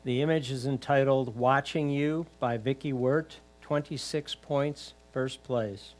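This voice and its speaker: A man's voice: mid-range voice, fairly even intonation, unhurried, low-quality recording. Man formally announcing titles and scores.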